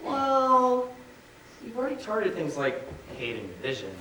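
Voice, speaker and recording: A man on stage playing an excitable, eager-to-please lab doctor. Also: high voice, unhurried, distant mic, heavily acted. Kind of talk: staged musical reading, stage acting. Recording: echoey theatre from afar, faint noise.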